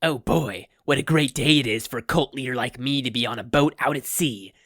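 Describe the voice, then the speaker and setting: A performer voicing an oblivious male cult leader villain with loud, theatrical exclamations in a comic audio story. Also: medium pitch, brisk, no echo, studio quality. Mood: cheerful.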